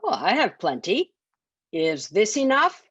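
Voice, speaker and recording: A woman's voice: medium pitch, wildly swooping pitch, unhurried, fairly bright tone, husky, no room sound, exaggerated voice. A woman voicing a red-faced horned goblin, inquisitive and eager for money, in a children's storybook.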